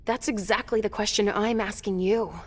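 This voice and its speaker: A woman's voice: medium pitch, fairly even intonation, moderate pace, lightly acted. A woman playing a troubled, wary mother who sees visions, guarded and weary in a video game cutscene.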